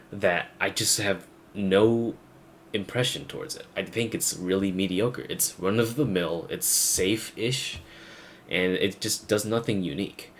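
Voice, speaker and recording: A young man giving a casual, blunt, rambling, profane rant as a gaming video creator. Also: low-pitched. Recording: faint background noise.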